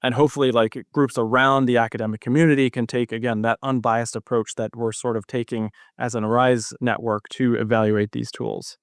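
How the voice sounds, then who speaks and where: A man's voice: mid-range voice, little pitch movement, brisk, hardly any pauses, no room sound. Man explaining research, dry close sound. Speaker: guest physician researcher. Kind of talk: conversational expert interview.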